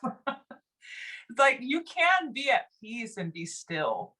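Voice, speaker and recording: A young adult woman from Texas, a singer talking as a guest in a casual conversation, laughing often and getting loud when excited. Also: a little high, takes it slowly. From Texas, USA.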